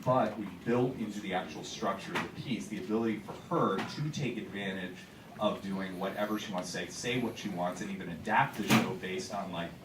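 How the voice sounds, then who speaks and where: A man's voice: sits low, steady tone, brisk. A man, a theater director, chatting loosely to an audience before a show, with many fillers and restarts. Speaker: theater director giving a pre-show talk. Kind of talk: casual pre-show talk to an audience. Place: theater auditorium. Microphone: held microphone heard from a distance. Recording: echoey theater, far from the mic, noisy.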